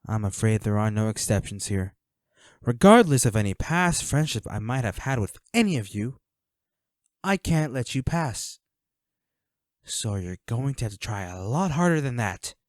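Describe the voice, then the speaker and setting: A man playing a flirty, menacing villain in an audio roleplay, speaking to the listener with taunting, mock-sympathetic, unhurried delivery. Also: medium pitch, big pitch swings, no echo, studio quality, close to an everyday voice. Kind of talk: scripted audio roleplay acting addressed to the listener.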